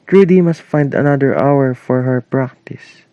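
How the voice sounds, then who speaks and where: A man's voice: medium pitch, unhurried, dry recording, highs cut off, natural voice. Man playing a grumpy father, dry sound. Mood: firm. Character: grumpy, stern father working at home. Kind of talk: amateur English-language radio play.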